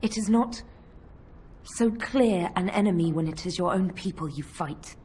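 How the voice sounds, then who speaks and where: A woman's voice: on the low side, measured pace, mellow, a little hiss or hum, close to an everyday voice. An actress playing a stern, loyal female knight in a video game, grave and resolute. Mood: grave, conflicted. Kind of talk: video game cutscene acting.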